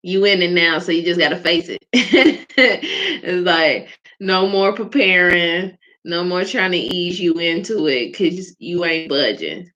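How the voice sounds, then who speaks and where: Woman, soft small voice, chatty and laughing, low-ish pitch, dull lo-fi recording. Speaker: host of a live faith vlog. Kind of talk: casual spoken reflection. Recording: dull lo-fi sound.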